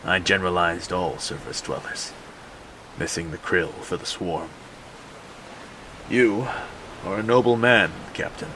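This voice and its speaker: A proud, stern king of the sea, played by a man, with a low, bright and forceful voice. He talks slowly and formally, as if every word were a decree, in a superhero audio drama with some noise behind him. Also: rough recording. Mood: grave, conciliatory. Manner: formal, imperious, deliberate. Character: proud, stern male king of undersea Atlantis.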